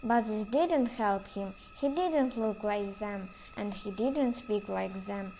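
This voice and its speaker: A woman's voice: medium pitch, slow pace, warm tone, a little hiss or hum, low-quality recording. A woman reading scripted narration for a children's audio play.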